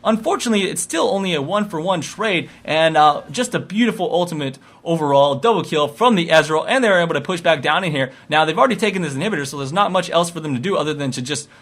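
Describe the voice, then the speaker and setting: Higher-pitched man calling a game match. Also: animated, fast, hardly any pauses, a bit bright. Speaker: esports commentator. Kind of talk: live video game match commentary.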